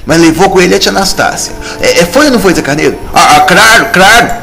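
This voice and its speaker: A man's voice: high-pitched, animated, quick pace, gravelly. A man voicing a frightened country farmhand in a cartoon, stammering and repeating himself in panic. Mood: panicked. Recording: music behind.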